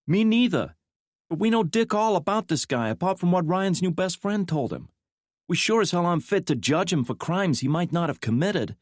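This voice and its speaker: A scrappy young woman operative who loves a good fight and talks bluntly and mouthily, voiced by a male narrator. The pitch is lifted high over his own voice. The sound is dry and close. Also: sing-song, normal speed, no room sound.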